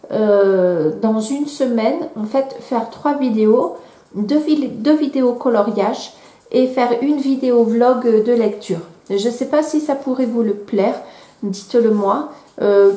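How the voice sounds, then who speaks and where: A woman in her thirties with a cold chats casually about her hobby, full of hesitations and restarts. Her voice sits a little high and mellow, heard in an echoey room. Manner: hesitant, many fillers and restarts. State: has a cold. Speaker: vlogger. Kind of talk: casual chat.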